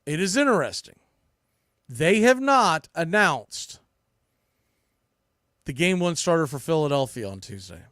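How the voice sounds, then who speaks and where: A man with a slightly high-set voice talks through baseball as a podcast host. He speaks off the cuff in a conversational way, often revising himself mid-thought. The sound is dry, with very little room around it. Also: sing-song, a little slow, pauses often, a bit bright, no room sound. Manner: conversational, thinking aloud with self-corrections. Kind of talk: sports preview commentary, off the cuff.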